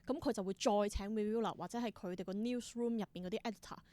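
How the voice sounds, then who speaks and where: A woman's voice: medium pitch, fairly even intonation, quick pace, warm tone, no echo. Hong Kong Cantonese woman, explanatory interview guest. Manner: explanatory, mixes English terms into Cantonese. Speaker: interview guest, theatre performer. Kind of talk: conversational interview answers.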